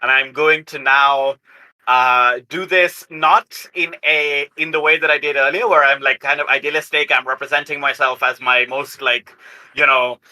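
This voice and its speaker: A man playing a tabletop roleplaying game, talking through his moves casually but with deliberate, somewhat formal phrasing. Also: mid-range voice, steady tone, sharp tone, poor audio.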